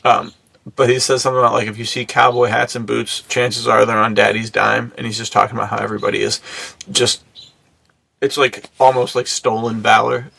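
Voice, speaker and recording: An American man with a very creaky, bright voice chats loosely as a podcast co-host. His delivery is slangy and rambling, with a slightly husky edge to the sound. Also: medium pitch, little pitch movement, fairly quick. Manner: slangy, rambling, acts out imagined remarks. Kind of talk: casual chat.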